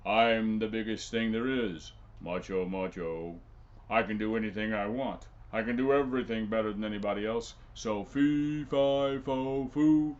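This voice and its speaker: A man voicing a huge, grumpy, boastful giant who chants and brags in a booming voice, on a dull lo-fi recording. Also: unhurried, close to an everyday voice.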